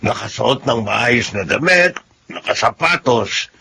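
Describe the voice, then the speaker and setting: An actor playing a kindly old Filipino grandfatherly benefactor in a radio drama, encouraging and fatherly, with a creaky voice. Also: medium pitch, lively intonation, measured pace, sharp tone, slight background noise, full character voice. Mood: pleased, welcoming. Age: older.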